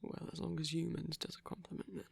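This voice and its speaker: A woman playing a gentle, doting vampire boyfriend in a soft-spoken romantic ASMR roleplay, tender and lightly teasing, often whispering close to the microphone. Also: mid-range voice, level tone, fast talker, gravelly. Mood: tender, soothing.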